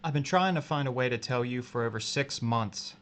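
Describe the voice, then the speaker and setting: A man playing a guilty, frightened coworker in an audio drama, speaking in a halting, emotional way. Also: medium pitch, fairly bright tone, lightly acted. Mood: ashamed confessing. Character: guilty, frightened adulterous coworker, pleading then outraged.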